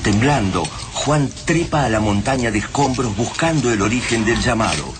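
A man's voice: medium pitch, lively intonation, normal speed, noisy background. A man with an Argentine accent giving a dramatic narration for a radio drama.

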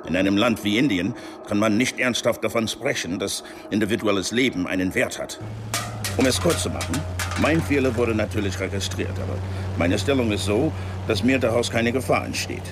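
An actor playing a cynical, worldly embassy man in a radio drama, lecturing in a measured, patronising way with veiled menace. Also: expressive, music in the background. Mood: cold warning. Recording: noisy ambience with vehicle rumble.

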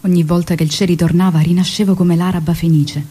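A young revolutionary's wife in a radio drama. She is devoted and full of longing, with a fairly low, mellow voice that has a husky grain. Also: little pitch movement, fast talker. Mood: tender reminiscence. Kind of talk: theatrical radio drama monologue and dialogue.

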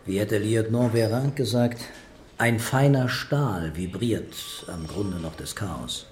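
An actor playing a measured, terse police commissioner leading a murder inquiry in a German radio crime drama. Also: male voice, lowish voice, a little mellow, a little hiss or hum. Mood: pensive.

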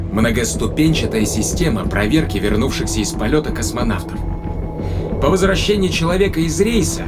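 Man as guarded spaceship captain over loud music. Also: mid-range voice, close to an everyday voice. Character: guarded, rational male spaceship captain bargaining with an enemy. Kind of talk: dramatic audio play acting.

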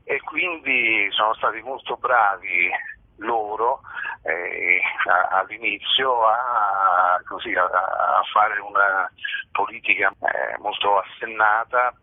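Low, husky man on a phone line. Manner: hesitant, halting, thoughtful, searching for words. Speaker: stage actor, interview guest. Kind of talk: spontaneous interview answers. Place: phone interview. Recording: muffled roomy telephone line.

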